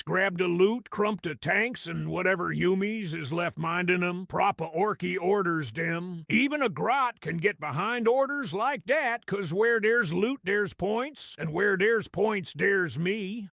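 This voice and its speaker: A man voicing a small, cunning goblin-like grot with a gravelly Cockney-style accent, telling a war tale in a wry, conspiratorial way for an audio drama. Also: sits high, fairly bright tone, no echo, studio quality, exaggerated voice. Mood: wry, cocky. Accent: Cockney-style English. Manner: wry, conspiratorial, streetwise, ungrammatical slang. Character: small cunning cowardly male grot narrator, wry storyteller. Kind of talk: in-character audio drama storytelling.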